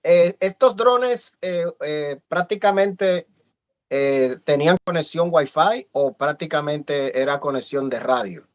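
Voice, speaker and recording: A man's voice: on the high side, lively intonation, slow. A man hosting a live video-call interview, friendly and hesitant, with many fillers. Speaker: host. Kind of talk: casual live-stream interview.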